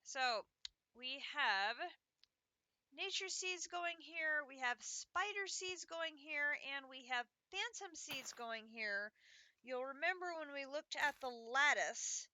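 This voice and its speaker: A woman's voice: sits high, lively intonation, takes it slowly, pushed and bright, no room sound. A congested woman streamer casually walking viewers through her game progress. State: congested from allergies. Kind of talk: casual live game commentary.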